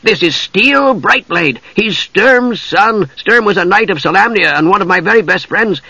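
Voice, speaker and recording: A small, cheerful kender voiced by a male narrator chatters away in a high, bright pitch. He is childlike and eager, full of enthusiasm, in a lively fantasy storybook style. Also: unhurried, breathy edge, dry recording, muffled recording, exaggerated voice. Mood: enthusiastic.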